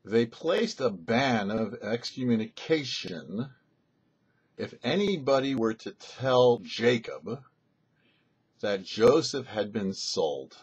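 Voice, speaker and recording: A rabbi delivers a weekly Torah lesson, his voice rising and falling in a lively, animated way as he explains each point with measured pauses. His tone is slightly husky, and the recording sounds a little muffled and lo-fi. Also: mid-range voice. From United States. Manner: thoughtful explanatory teaching with pauses. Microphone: studio mic.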